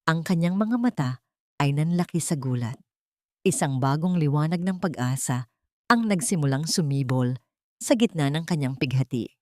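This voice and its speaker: Female drama narrator: slightly low pitch, scripted dramatic storytelling, very dry recording. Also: expressive, normal speed, no echo.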